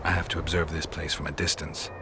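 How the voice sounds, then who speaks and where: An actor playing a stoic, world-weary monster hunter in a video game, gravelly and matter-of-fact. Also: male voice, very deep voice, fast, slight background noise. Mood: grim, dry. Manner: terse, flat, matter-of-fact.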